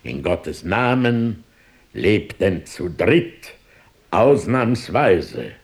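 Creaky, low-voiced old Pope. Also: male voice, sing-song, very slow, full character voice. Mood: solemn, decisive. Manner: theatrical, solemn, swinging from outbursts to hushed asides. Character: pompous, wily old Pope passing solemn judgment. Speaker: actor. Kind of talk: rhymed radio play acting.

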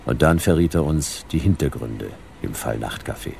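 An actor playing a deadpan narrator of a sci-fi detective radio play, speaking in clipped fragments. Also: male voice, extremely low pitch, normal speed, vocal fry, a little hiss or hum, full character voice.

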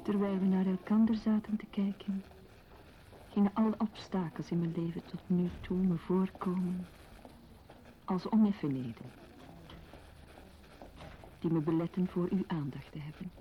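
An actress playing a reflective, intense woman in a literary radio play, earnest, measured and intimate, on a dull recording. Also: lowish voice, a little hiss or hum, close to an everyday voice. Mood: tender, intense. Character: reflective, intense woman confiding memories and love.

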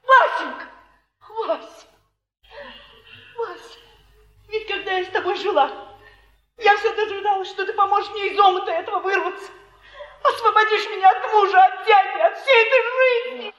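An actress playing a scorned, scheming, passionate wife in an intense, theatrical Russian stage drama, with a pleading delivery. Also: female voice, very high voice, moderate pace, long pauses, a bit bright. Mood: desperate, pleading. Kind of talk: Soviet 1950s-70s stage drama acting.

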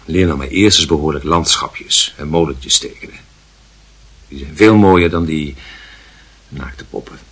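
An actor playing a stern, dismissive father with a gruff, admonishing manner in a radio drama. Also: very deep voice, measured pace, long pauses, a bit bright, exaggerated voice.

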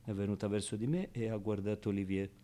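A man's voice: on the low side, fairly even intonation, quick pace, warm tone. Guy doing a streetwise hurt orphan boy telling his story, radio play, kinda hesitant and slangy. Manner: colloquial, hesitant storytelling with repeated words. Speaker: actor-narrator. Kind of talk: dramatic radio theatre monologue in a child character's voice.

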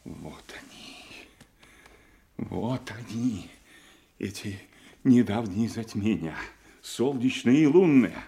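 A man's voice: on the high side, full character voice. An older man acting an aging, gullible nobleman father in a classical audio play, emphatic and theatrical, anguished and suspicious. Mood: grieving, foreboding. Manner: theatrical, emphatic, swinging from hushed to outraged.

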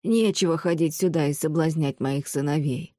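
Casting: female reader as cantankerous old woman, drawn-out scolding, dark mellow tone, storybook villainy. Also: deep voice, dry recording, studio quality. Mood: hostile. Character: hostile, scolding old woman, spitting insults. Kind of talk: audiobook character acting.

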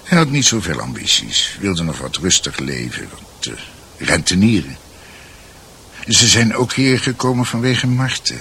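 A reflective old family doctor in a radio play. His low voice crackles with gravel and takes its time, pausing and searching for words as he tells the family story. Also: sing-song, bright, forceful tone, full character voice. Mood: reflective, nostalgic. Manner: hesitant, measured, musing storytelling. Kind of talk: Dutch radio drama acting.

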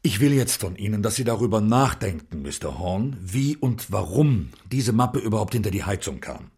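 An actor playing a stern police inspector who questions slowly and formally, with a husky voice. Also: male voice, fairly low pitch, big pitch swings, a little mellow, full character voice. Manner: slow, deliberate, formal questioning. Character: stern male police inspector, measured and probing. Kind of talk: audio drama acting.

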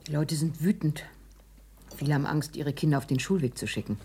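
A woman's voice: low-pitched, fairly quick, mellow, breathy edge. An actress playing a policeman's teasing, reproachful wife in a radio drama, speaking expressively. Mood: concerned. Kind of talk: German radio drama acting.

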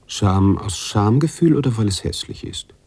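An actor playing a weary, brooding writer who narrates a literary radio drama in a musing, halting, husky way. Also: male voice, fairly low pitch, animated, no echo. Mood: musing, self-questioning. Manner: musing, halting, drops into hushed tones. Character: weary, brooding bearded male writer narrating his story.

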